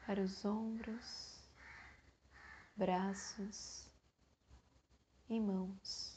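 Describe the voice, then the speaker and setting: A Brazilian woman guiding a meditation in a soothing way, in short phrases separated by long pauses. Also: medium pitch, level tone, dark tone, slight background noise. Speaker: meditation guide. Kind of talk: guided meditation.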